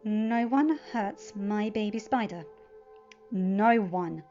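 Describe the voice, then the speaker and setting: A woman's voice: mid-range voice, takes it slowly, mellow, lo-fi sound. Protective deadly woman, acted by a female reader, hissing menace, emphatic delivery, faint music. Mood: menacing. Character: fierce protective female assassin.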